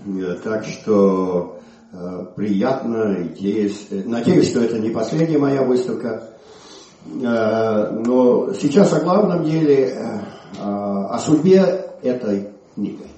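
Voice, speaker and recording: An older man, an English speaker, presenting his book in Russian with a halting manner, recovering from a cold. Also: fairly low pitch, dark tone. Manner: halting, many hesitations and restarts. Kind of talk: informal presentation speech. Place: museum hall. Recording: echoey hall, from far off.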